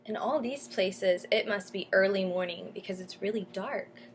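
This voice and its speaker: A woman teacher reading a picture book aloud to children, pausing to explain and ask questions. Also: mid-range voice, slight background noise. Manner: explains and asks questions as if to young listeners. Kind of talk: storybook read-aloud with comments to children.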